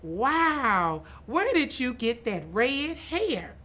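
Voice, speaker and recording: A woman's voice: high-pitched, big pitch swings, extremely slow, a bit bright, full character voice. A woman voicing a nosy male stranger in a storybook, exclaiming and asking questions in amazement. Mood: astonished. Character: nosy, astonished stranger, a man, in a store.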